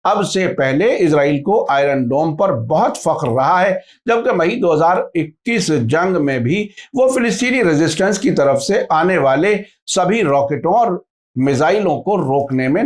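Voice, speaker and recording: Guy doing a news bulletin, voice a bit on the high side, formal and measured, reading from a script. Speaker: news presenter.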